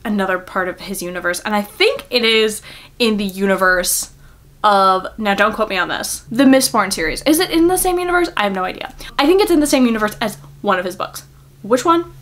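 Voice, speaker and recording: A woman's voice: mid-range voice, lively intonation, brisk, fairly bright tone. Giggly young woman chatting casually. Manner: giggly, rambling, self-deprecating. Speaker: book vlogger.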